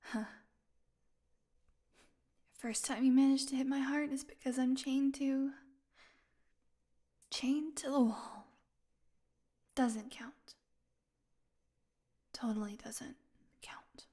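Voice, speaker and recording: A woman playing a weakened, hungry female vampire in an intimate audio roleplay, sarcastic and teasing, with a breathy voice that often drops into a whisper. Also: high-pitched, steady tone, mellow. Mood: dazed, weak. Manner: sarcastic, breathy murmurs, drawn-out weary delivery.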